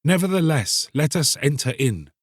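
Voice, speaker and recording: A man's voice: a little high, sing-song, moderate pace, slightly rough, no echo, clean studio sound, voice pushed up. A man voicing a sly, soothing soul that tempts and urges in archaic storybook speech, drawing out its words coaxingly. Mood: urging, sly. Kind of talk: dramatised storybook reading.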